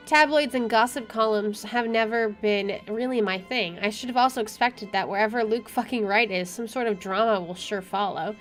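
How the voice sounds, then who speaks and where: A woman with a fairly high voice is playing through a visual novel. She reads the narration aloud and comments casually, with game music behind her. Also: a bit bright. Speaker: game streamer.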